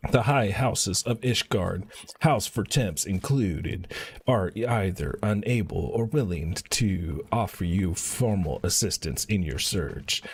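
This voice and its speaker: Man reading as formal low-voiced fantasy nobleman. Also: expressive, slow pace, husky, full character voice. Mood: measured, formal. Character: earnest formal nobleman of a fantasy high house. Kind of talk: reading game dialogue aloud.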